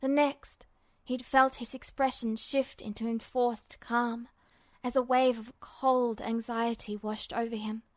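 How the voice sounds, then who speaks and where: A woman's voice: fairly high pitch, level tone, unhurried, no echo. A woman reading scripted fiction aloud as the narrator of a story. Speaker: podfic narrator.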